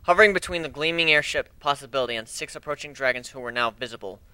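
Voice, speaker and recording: A man's voice: mid-range voice, fast, bright, forceful tone, clean tone, dry recording. A man giving an amateur dramatic reading of a fan fiction story in a theatrical manner. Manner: theatrical, acts out dialogue.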